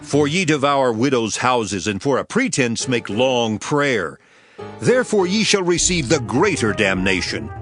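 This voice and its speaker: Stern holy teacher, solemn declamation, fervent, widely swinging intonation, music underneath, audio Bible drama. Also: male voice, mid-range voice, big pitch swings, a little slow, a bit bright. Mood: stern rebuke.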